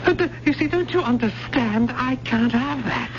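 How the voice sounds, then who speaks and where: A man's voice: very high voice, animated. An actor playing a nervous, obsessive, genteel male poetry professor who stammers with fussy politeness in an old-time radio drama. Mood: desperate, frantic. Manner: halting, stammering, fussily polite. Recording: muffled, noisy old radio recording.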